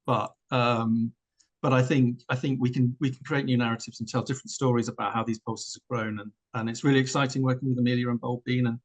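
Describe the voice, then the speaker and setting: A man's voice: mid-range voice, level tone, fast. A man who founded a pulse community project, answering earnestly on a webinar video call, with many restarts.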